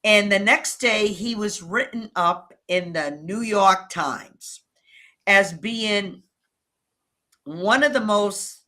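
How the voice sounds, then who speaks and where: A woman with a New England accent giving advice and telling stories in conversation as an interview guest, with measured, emphatic phrasing. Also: medium pitch, bright, forceful tone. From New England, United States. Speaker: interview guest, relationship coach.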